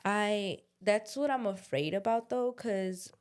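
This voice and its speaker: A woman's voice: medium pitch, takes it slowly, a little mellow, clean tone. Young woman, podcast co-host; casual slangy chat, confessional, quotes her own inner voice.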